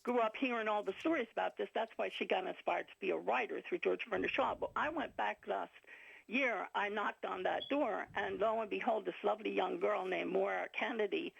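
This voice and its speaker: A woman's voice: mid-range voice, fairly quick, vocal fry, breathy edge, no room sound. An older woman from Ireland, a guest author chatting in a rambling, talkative way and laughing often on a radio show. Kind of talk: casual radio interview. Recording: dull lo-fi radio sound.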